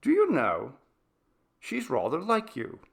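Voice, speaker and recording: A knowing man acted out by a male narrator muses casually in a storybook ghost tale. His voice is creaky and his manner offhand and sure of itself. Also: fairly high pitch, huge pitch swings, slow, a bit bright, pitched above the performer's own voice, exaggerated voice. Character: knowing man casually musing on old portraits. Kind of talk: audiobook character dialogue.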